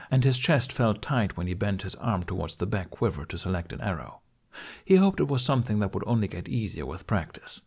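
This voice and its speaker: A man reads fiction as an audiobook narrator. His voice sits a little low, and he moves through the prose with very lively, expressive pitch.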